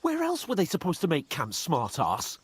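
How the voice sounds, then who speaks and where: An actor playing a pompous, excitable young nobleman in a video game, theatrical and quick to indignation. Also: a little high, husky, heavily acted. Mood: angry, offended. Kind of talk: dramatic video game dialogue.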